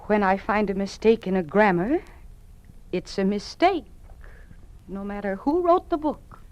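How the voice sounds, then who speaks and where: An actress playing an earnest, principled schoolteacher in an old-time radio drama, polite and careful. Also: female voice, mid-range voice, a little slow, a little mellow, close to an everyday voice. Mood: restrained. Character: principled woman schoolteacher, earnest and quietly defiant. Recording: muffled vintage radio recording.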